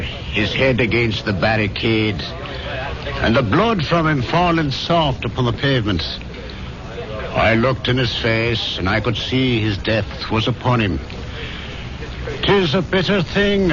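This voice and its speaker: An actor playing a solemn, sentimental old Irish barroom storyteller with dramatic delivery in a radio drama. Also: male voice, mid-range voice, natural voice. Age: older. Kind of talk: 1970s radio drama acting. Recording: muffled 1970s radio recording, bar crowd behind.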